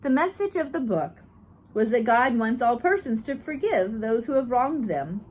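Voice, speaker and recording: A woman reading a biography aloud as scripted narration, in measured phrases like an audiobook reader. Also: a little high, expressive, darkish tone, low-quality recording.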